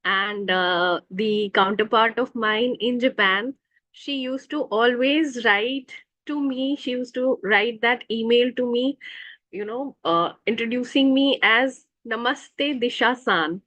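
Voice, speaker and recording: A woman's voice: on the high side, slow pace, a bit bright, no room sound, highs cut off. A woman at a club meeting chattily sharing a short story, with many fillers. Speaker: meeting participant. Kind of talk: personal anecdote.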